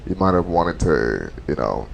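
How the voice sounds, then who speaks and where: A man's voice: rumbling low, very animated, moderate pace, gravelly, highs cut off, slight background noise. A young man from a Trinidadian and Dominican family, chatting casually and reflectively with friends and laughing easily. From Trinidadian and Dominican family, grew up in South Florida. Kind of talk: casual podcast chat.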